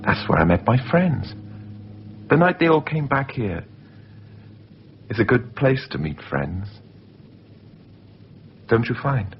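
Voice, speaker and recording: A man's voice: mid-range voice, sing-song, slow, vocal fry, pauses often, full character voice. An actor playing an insinuating man who casually needles and probes, in naturalistic radio drama style. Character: Jon, insinuating, film-obsessed man steering every conversation. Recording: muffled, noisy off-air radio recording.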